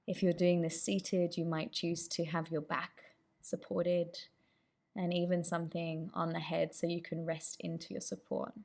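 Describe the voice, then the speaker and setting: A woman leading a guided meditation as the instructor, with gentle, inviting instructions. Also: fairly low pitch, level tone, a little slow, gravelly.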